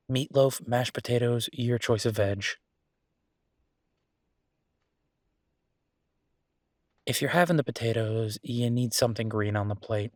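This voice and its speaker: A man playing an affectionate, playfully grumbling young werewolf chef in an intimate, casual boyfriend roleplay audio, chatty and halting. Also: mid-range voice, no room sound, studio quality, lightly acted. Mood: playful, insistent.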